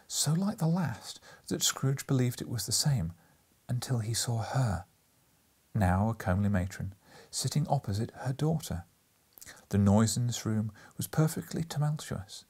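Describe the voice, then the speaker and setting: A male audiobook narrator with a medium-pitched, husky voice. He reads classic fiction expressively, as scripted storytelling. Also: mellow.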